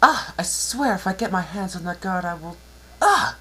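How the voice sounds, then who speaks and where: A man's voice: high-pitched, expressive, moderate pace, bright, forceful tone, breathy edge, voice pushed up, heavily acted. A man voicing an elder-sister pony princess in a dramatic story reading, fretful and exasperated.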